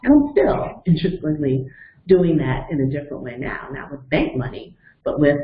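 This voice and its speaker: A woman's voice: deep voice, sing-song, normal speed. Woman in her fifties coaching over a thin line. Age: 50s. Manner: earnest, explains step by step with personal stories. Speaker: webinar facilitator and coach. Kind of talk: motivational webinar talk. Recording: echoey, phone-like narrow sound.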